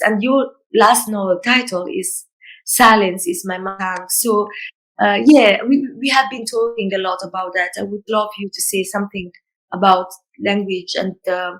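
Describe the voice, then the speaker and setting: A woman's voice: medium pitch, fairly bright tone, low-quality recording. A woman from Italy, an author in a reflective conversation, who hesitates and searches for words with many fillers.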